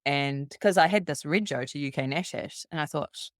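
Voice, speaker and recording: A woman from New Zealand with a New Zealand accent, guesting on a podcast and telling a long, brisk travel story full of quoted dialogue. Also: sits low, no room sound, clean studio sound. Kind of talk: casual storytelling.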